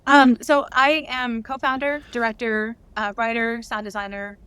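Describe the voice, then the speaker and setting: A woman's voice: a little high, little pitch movement, moderate pace, fairly bright tone, smooth, no echo. A woman talking casually as an interview guest, explaining things in an earnest manner. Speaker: interview guest, director and writer.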